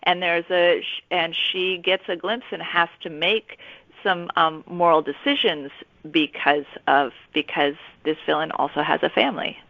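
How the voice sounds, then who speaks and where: A woman author explaining her work conversationally as an interview guest, pausing in mid-thought. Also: lowish voice, creaky, dry recording. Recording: slightly dull, band-limited audio.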